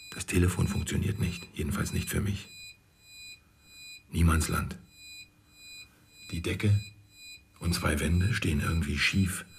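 A man's voice: low voice, creaky, a little hiss or hum. An actor playing a wry, embattled insurance salesman in a radio play, speaking a sardonic monologue in clipped phrases.

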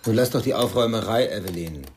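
A man's voice: fairly low pitch, fast talker, a little hiss or hum, natural voice. A man acting a stern, easily irritated husband and father in a German radio play, speaking matter-of-factly and curtly.

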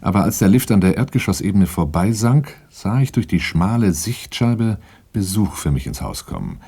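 A very low male voice narrates a radio crime drama. He is wary and matter-of-fact, with a soft, dark tone and a husky edge. His delivery is measured and sober. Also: sing-song, heavily acted. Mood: sober, tense. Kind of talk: radio drama acting, first-person narration and dialogue.

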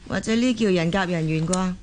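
Radio drama role: busy working mum played by a woman, Hong Kong Cantonese, expressive, easily exasperated, faint noise behind. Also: on the low side, moderate pace, lightly acted. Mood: chatty, weary. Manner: expressive, colloquial.

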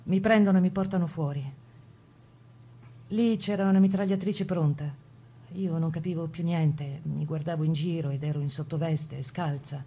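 Woman partisan, lowish voice, plain measured storytelling, radio drama monologue, first-person testimony. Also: fairly even intonation, warm tone, husky. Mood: grave, recollecting. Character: Italian woman partisan recounting wartime escape and sabotage.

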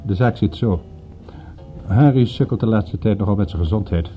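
An actor in a Dutch radio play voicing a middle-aged father and businessman, formal, calculating and deliberate in manner. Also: on the low side, natural voice. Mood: guarded, probing. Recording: tinny narrow-band old radio, faint music.

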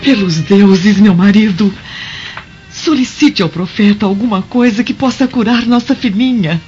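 A woman's voice: medium pitch, measured pace, darkish tone, lightly acted. An actress playing a desperate Roman wife and mother in a radio drama, imploring in a theatrical way. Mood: pleading, desperate. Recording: dull radio drama recording with music.

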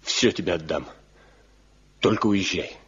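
Proud anguished craftsman, very low husky voice, solemn delivery, old radio drama. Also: measured pace, a bit bright, exaggerated voice. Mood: anguished pleading. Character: Nayden, proud anguished master craftsman, pleading and grim. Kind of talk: Soviet radio play acting. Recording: dull, muffled 1970 radio play recording.

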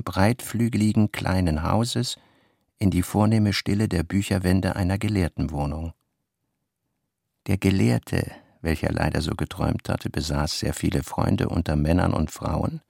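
A deep, gravelly brooding narrator. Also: male voice, darkish tone, no echo, clean studio sound. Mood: measured narration. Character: brooding male narrator of a literary radio play. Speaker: literary narrator. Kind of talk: dramatic literary reading in a radio play.

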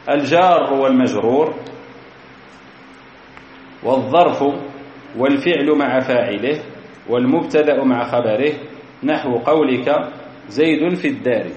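A man's voice: medium pitch, animated, normal speed, pauses often, darkish tone, slight background noise. Man, grammar teacher, methodical, repeats himself, long hall reverb, dull lo-fi sound. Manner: methodical, repeats key phrases, quizzes students. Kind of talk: classroom grammar lecture. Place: lecture hall. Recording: echoey hall, dull lo-fi recording.

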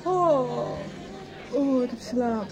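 An actress playing a frank, inquisitive friend in a radio soap, casual and direct, often questioning. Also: female voice, a little high, extremely slow, rough recording. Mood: tired, fed up. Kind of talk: naturalistic radio soap acting. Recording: radio drama with music and crowd behind.

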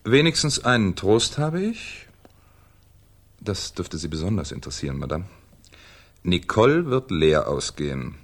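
An actor playing a shrewd, ironic French police commissioner in a 1970s German radio crime drama, with a theatrical, needling manner. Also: male voice, deep voice, sing-song, measured pace, pauses often, heavily acted. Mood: reading out gravely.

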